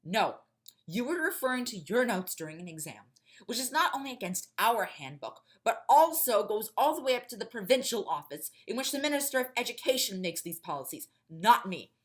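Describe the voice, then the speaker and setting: A woman's voice: on the high side, very animated, a little fast. A woman voicing a stern, cold male school principal near retirement in an audio drama, curt and officious. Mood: stern, dismissive.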